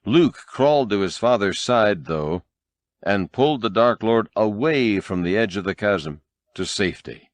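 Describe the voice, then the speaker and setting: A man's voice: lowish voice, expressive, measured pace, dry recording. A man narrating an audiobook in a dramatic, scripted storytelling style.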